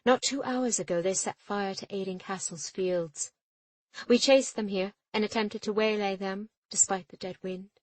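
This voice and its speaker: A woman voicing a proud, hot-tempered young woman ship captain, sharp and defiant. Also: mid-range voice, steady tone, normal speed, no room sound, natural voice, rough recording. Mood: angry, defiant.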